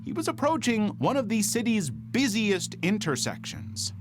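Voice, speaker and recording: A man's voice: high voice, very animated, normal speed, over music. A man reading scripted true-crime narration as a narrator.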